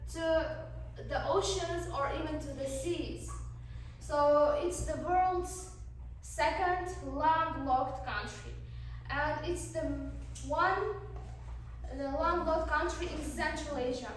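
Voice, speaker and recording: A sixteen-year-old girl from Uzbekistan, a native Uzbek speaker, giving a class presentation slowly and carefully, with pauses and fillers. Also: high voice. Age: teens. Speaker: exchange student presenter. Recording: roomy, distant, faint noise.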